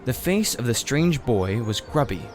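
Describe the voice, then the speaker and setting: A man reading a children's story aloud in expressive narration. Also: medium pitch, moderate pace, faint music behind. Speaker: audiobook reader. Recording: faint background noise.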